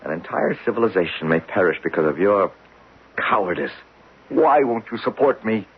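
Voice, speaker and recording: A desperate obsessed geologist, deep and insistent, old radio play, muffled thin sound. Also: male voice, expressive, moderate pace, husky, exaggerated voice. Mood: urgent. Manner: intense, insistent. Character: obsessed, desperate male geologist urging belief in his discovery. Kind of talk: old radio drama acting.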